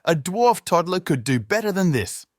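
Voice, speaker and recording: A man voicing a loud, grumpy male dwarf smith, brash and coarse in manner. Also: high-pitched, sing-song, moderate pace, no room sound, pitched above the performer's own voice. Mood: indignant.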